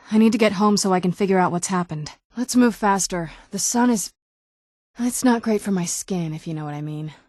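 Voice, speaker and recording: Actress as ancient vampire noblewoman, youthful, slightly low pitch, wry, guarded, conversational, game dialogue, dry studio sound. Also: darkish tone, dry recording, natural voice. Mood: uneasy, urgent. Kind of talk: video game character dialogue.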